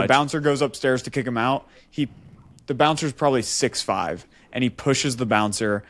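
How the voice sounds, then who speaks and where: A man's voice: medium pitch, no room sound. A man co-hosting a podcast, telling a story casually and animatedly, acting out the dialogue as he goes. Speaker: podcast co-host.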